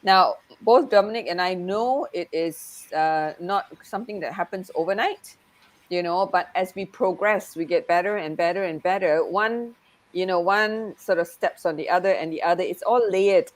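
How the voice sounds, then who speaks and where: A woman's voice: on the low side, moderate pace, dry recording, rough recording. A woman who grew up in Malaysia, a coach talking in a casual, reflective way and often saying "you know". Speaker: NLP coach. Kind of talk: casual conversation.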